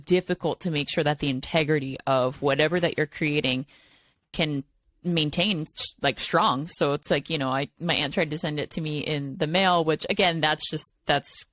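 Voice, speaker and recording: A woman hosting a casual interview, talking in a relaxed, rambling way with many fillers. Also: low voice, moderate pace, a little hiss or hum. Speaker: podcast host.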